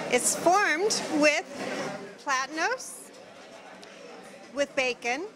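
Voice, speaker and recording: A middle-aged woman presenting dishes to camera in a promotional style, with halting, simple phrasing, on a handheld mic. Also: very high voice, noisy background. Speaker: TV cooking segment presenter. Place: restaurant kitchen counter. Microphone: handheld microphone.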